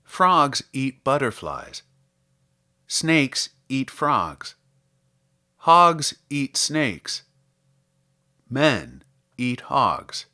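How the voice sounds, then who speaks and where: Slow, expressive man reading literature. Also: medium pitch. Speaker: podcast host and poetry reader. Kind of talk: scripted literary introduction and poetry recitation.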